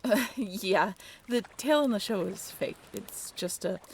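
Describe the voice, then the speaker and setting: A woman playing a caring, bashfully candid half-mermaid ship crew member in an audio roleplay, speaking straight to the listener in a halting, natural, gently bossy way. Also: mid-range voice, expressive, slightly rough. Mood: sheepish, confessing. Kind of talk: audio roleplay drama spoken directly to the listener.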